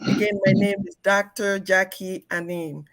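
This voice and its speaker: Measured woman introducing herself. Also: medium pitch, fairly even intonation, vocal fry, fairly bright tone. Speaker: panelist, industry expert. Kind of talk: formal self-introduction.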